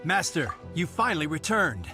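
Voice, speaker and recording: An actor playing an earnest, nervous young knight in a video game, flustered and hesitant. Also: male voice, a little high, very animated, normal speed, sharp tone, soft background music, full character voice.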